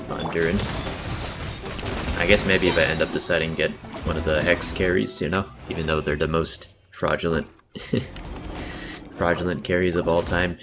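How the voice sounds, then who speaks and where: A man chatting casually on a game livestream in a laid-back, slangy way, muttering asides. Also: a bass voice. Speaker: game streamer. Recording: background game music. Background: video game music.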